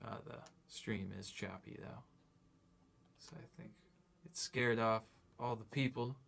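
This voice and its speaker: A man's voice: lowish voice, measured pace, creaky, a bit bright, slight background noise. A man chatting casually while playing a board game on a stream, thinking aloud and explaining things pedantically. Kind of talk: casual game commentary chat.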